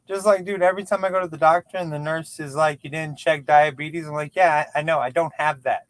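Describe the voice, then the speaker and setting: A man's voice: on the high side, fairly even intonation, brisk, dry recording. A man in his late thirties chatting as a guest, joking and self-deprecating, stumbling over words. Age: 30s. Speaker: podcast guest. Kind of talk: casual chat.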